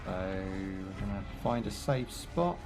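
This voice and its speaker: A man's voice: sits low, slow pace, over music. A young British man chatting casually while he plays a game live, rambling through jokes, asides and fillers. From United Kingdom. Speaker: gaming streamer. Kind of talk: casual live gameplay commentary.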